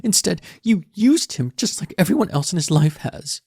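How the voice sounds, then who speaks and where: Guy doing a teen girl voice way up high, sobbing mad and yelling, husky edge to it. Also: very animated, a little slow, no room sound, clean studio sound, exaggerated voice. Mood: tearful outrage. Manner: shouting accusations through tears. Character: distraught teenage daughter, furious and tearful.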